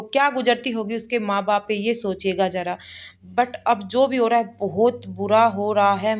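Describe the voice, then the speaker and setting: A woman giving casual gossip commentary in a rambling, repetitive way, mixing in English words. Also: mid-range voice. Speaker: reaction channel host. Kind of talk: casual gossip commentary on a social media family dispute.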